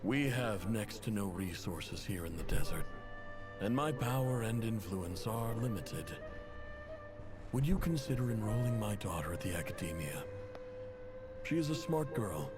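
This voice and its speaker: Proud desert chief, echoing, expressive. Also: male voice, on the low side. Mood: grave, pleading. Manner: formal, measured, courteous. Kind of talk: dramatic video game letter narration. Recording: long reverb, music behind.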